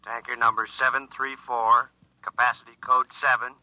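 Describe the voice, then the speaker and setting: A man's voice: medium pitch, flat delivery, normal speed, sharp tone. An actor playing an impersonal male radio dispatcher, reading in a clipped official manner through a radio filter. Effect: radio transmission filter. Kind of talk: 1950s radio drama, message read-out. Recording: dull, band-limited radio transmission.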